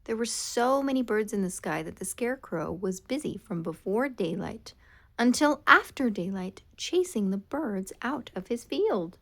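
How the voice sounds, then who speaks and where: Woman, children's storytime narrator, unhurried, lively pitch, dramatic, expressive. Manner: dramatic, expressive read-aloud with drawn-out words. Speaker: storybook reader.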